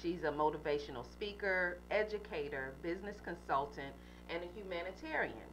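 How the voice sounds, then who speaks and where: A woman's voice: on the low side, fairly quick, fairly bright tone, soft background music, low-quality recording. A woman hosting a radio talk show, introducing a guest in a chatty, upbeat way with frequent fillers.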